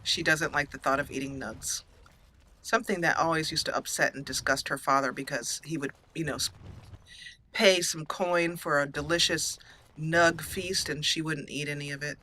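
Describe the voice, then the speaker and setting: A woman's voice: low voice, steady tone, moderate pace, pushed and bright, a little hiss or hum, low-quality recording. A woman hosting a let's play, casually commentating and storytelling in a roleplay style.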